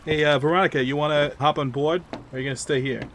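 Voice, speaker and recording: A man's voice: fairly high pitch, fairly even intonation, fast, a bit bright, a little hiss or hum. A man playing a tabletop game, casually narrating and speaking for his character. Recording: music behind the voice.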